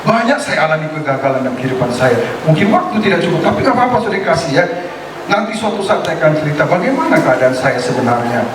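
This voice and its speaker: A male pastor preaching a sermon in a lively way, asking the congregation questions and acting out quoted voices. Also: on the high side, fast. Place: church hall. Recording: echoey hall, distant mic, noise.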